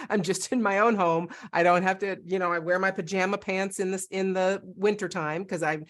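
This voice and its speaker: A woman's voice: medium pitch, steady tone, normal speed. A woman from Northern California hosting a podcast from her home, chatting with enthusiasm. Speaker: podcast host. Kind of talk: casual interview chat.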